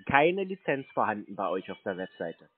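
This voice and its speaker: A man's voice: lowish voice, sing-song, moderate pace, husky, no room sound. A man in an unscripted phone argument, stubbornly contradicting the other side and repeating his accusations. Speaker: prankster confronting phone scammers. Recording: dry, narrow phone-like band.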